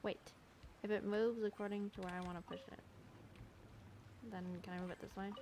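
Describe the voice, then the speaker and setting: A woman's voice: medium pitch, flat delivery, brisk, noisy background. A woman giving casual gameplay commentary, muttering to herself and exclaiming in exasperation as she plays. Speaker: gamer recording a playthrough.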